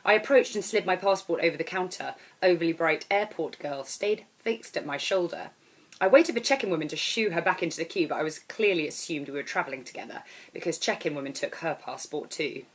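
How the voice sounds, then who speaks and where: A woman reading aloud as an author, in expressive storybook narration. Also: deep voice, a little fast. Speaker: author reading own novel.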